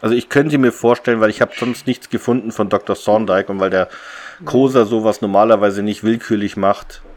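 A man's voice: fairly low pitch, steady tone, a little fast, darkish tone, a little hiss or hum. A man co-hosting a podcast, talking through a story in a casual, explanatory, conversational way. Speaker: podcast co-host. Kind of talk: casual discussion of an audio drama's plot.

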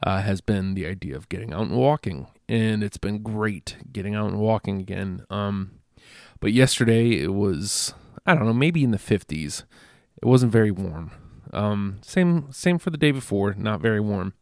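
A stuffy-nosed man talks alone into a close microphone for his podcast, rambling and self-deprecating between fillers and false starts. His voice is low and full of gravelly fry, and the recording is dry. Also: lively intonation, normal speed, dry recording. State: congested. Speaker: podcast host. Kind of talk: casual solo podcast monologue.

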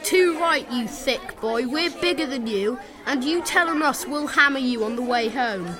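A woman's voice: high voice, lively intonation, normal speed. A woman playing a cheeky, bullying schoolchild in a radio play. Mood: taunting. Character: taunting young Welsh school child. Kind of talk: amateur radio play acting. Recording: noisy crowd of voices behind.

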